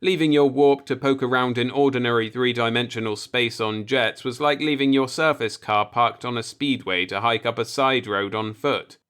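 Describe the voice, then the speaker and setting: A man's voice: mid-range voice, fairly even intonation, normal speed, hardly any pauses, fairly bright tone, smooth. A man reading a scripted science fiction story aloud as an audiobook narrator.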